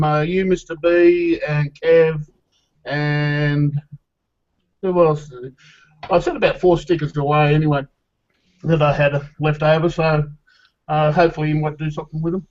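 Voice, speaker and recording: A man's voice: a little high, little pitch movement, a little slow. A man chatting casually as a guest on an online group hangout. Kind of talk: casual chat. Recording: dull lo-fi online call audio.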